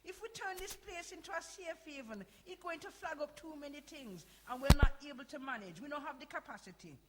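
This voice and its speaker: An actress playing a firm, pragmatic community centre manager in a stage play, insistent and admonishing. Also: female voice, high voice, expressive, brisk. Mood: firm, worried. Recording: echoey live hall, faint noise.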